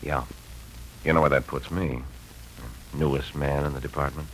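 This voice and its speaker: An actor playing a boyish, anxious, affectionate young husband, earnest and hesitant in 1940s radio drama style. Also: male voice, rumbling low, little pitch movement, moderate pace, a little hiss or hum, poor audio. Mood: worried. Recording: vintage radio recording with music.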